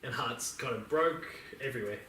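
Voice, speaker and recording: Bright-voiced man in an echoey room. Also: medium pitch, normal speed. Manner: informal, blunt, joking. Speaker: podcast co-host. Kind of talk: casual chat about video games and films. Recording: echoey room, distant mic, faint noise.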